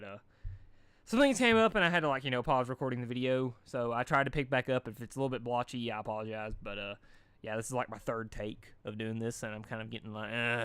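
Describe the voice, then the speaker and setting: A man hosting a fan fiction reading, switching between expressive narration and informal, rambling chat. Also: medium pitch, smooth, dry recording. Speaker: fan fiction reader and video host. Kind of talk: animated story reading and casual chat.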